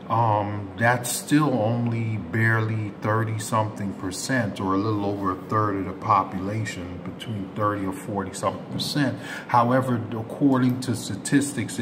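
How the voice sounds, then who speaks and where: A man hosting a community news channel, giving unscripted commentary to subscribers in a rambling way, often correcting himself with rough approximations. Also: fairly low pitch. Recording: clear background noise, ambient room sound.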